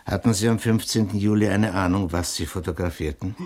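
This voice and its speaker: Police inspector, low husky voice, understated and polite, quietly probing, sixties radio crime drama. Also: male voice, a little fast. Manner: hesitant, understated, insinuating. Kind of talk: 1960s German radio crime play acting.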